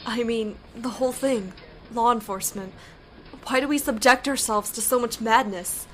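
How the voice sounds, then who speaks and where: A woman's voice: on the high side, normal speed, a little hiss or hum, close to an everyday voice. A woman acting an earnest, troubled police officer in a conversational radio drama.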